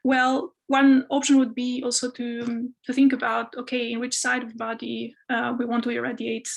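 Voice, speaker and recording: A woman originally from Albania guides participants through an online training session. She talks with frequent ums and uhs, her voice pitched a little high and held fairly level. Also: monotone, moderate pace, creaky, poor audio. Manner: hesitant, many fillers. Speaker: postdoc guest instructor. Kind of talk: online workshop teaching.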